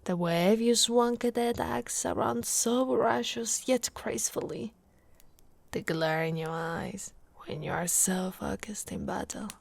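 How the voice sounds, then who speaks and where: A woman's voice: medium pitch, unhurried, creaky, dry recording. A woman from Italy voicing an affectionate, flirty orc warrior wife in an intimate ASMR roleplay, teasing and often dropping toward a whisper. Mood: nostalgic, proud.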